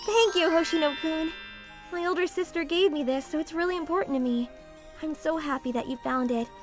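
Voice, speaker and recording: A woman's voice: high voice, a little fast, over music, close to an everyday voice. A woman playing a sweet, caring and easily flustered teenage schoolgirl in a manga dub, cutesy and stammering. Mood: grateful, shy. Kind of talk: manga dub voice acting, scripted.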